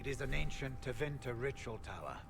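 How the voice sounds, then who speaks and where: Grave weary veteran warrior, fantasy game, measured formal delivery, mid pitch, clear background noise. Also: male voice, expressive. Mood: grave, urgent. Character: grave, weary male veteran Grey Warden soldier. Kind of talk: dramatic video game dialogue. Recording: clearly noisy game audio with ambience.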